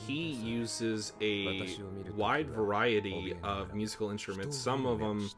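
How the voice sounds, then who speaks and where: A man in a casual reaction chat, mixing excitable exclamations with offhand remarks. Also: on the low side, slow pace. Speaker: anime reaction host. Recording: prominent music behind the voice.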